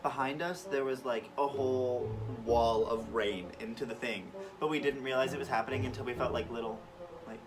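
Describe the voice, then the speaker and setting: A man's voice: medium pitch, steady tone, moderate pace. A young man co-hosting a vlog, adding casual, joking asides and acting out remembered exchanges in a loose, conversational way. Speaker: vlog co-host chiming in on stories. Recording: distant from mic, music behind.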